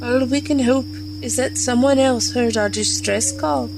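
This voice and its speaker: Woman playing a high-voiced, resolute rabbit scientist. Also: a little slow, vocal fry, music in the background. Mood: grave, resolute. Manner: earnest, resolute. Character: defiant, principled female rabbit scientist held captive. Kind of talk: scripted audio drama acting.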